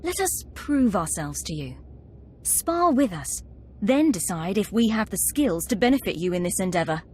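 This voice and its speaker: A woman's voice: medium pitch, sing-song, moderate pace, darkish tone, slightly rough. A woman playing a scheming young acolyte ringleader in a video game, confident, wry and coaxing. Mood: persuasive. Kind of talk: video game cutscene acting.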